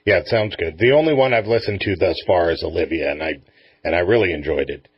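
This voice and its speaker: A man's voice: deep voice, fast, slightly rough, no echo. A man hosting a radio talk show, chatting casually in an informal, self-deprecating way. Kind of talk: casual interview chat. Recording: muffled, dull lo-fi audio.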